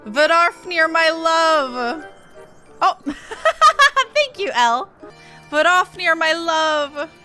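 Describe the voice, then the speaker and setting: A woman's voice: very high-pitched, big pitch swings, very slow, bright, forceful tone. A woman game streamer chats casually and playfully. She is giggly, and game music plays behind her.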